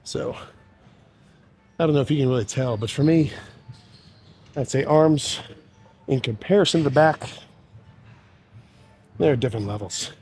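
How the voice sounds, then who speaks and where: A man's voice: medium pitch, measured pace, long pauses, darkish tone, a little hiss or hum. Stuffy-nosed young man rambling casually. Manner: laid-back, rambling, swears freely, frequent throat clearing. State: stuffy, congested with a cold. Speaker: bodybuilding vlogger. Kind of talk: casual vlog talk to camera.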